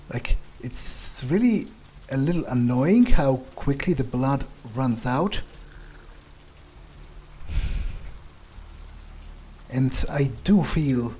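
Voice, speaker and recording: A man's voice: medium pitch, warm tone, husky. A man voicing a cynical, scornful fledgling vampire in a game playthrough, musing aloud hesitantly and profanely. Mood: irritated. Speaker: player role-playing in character.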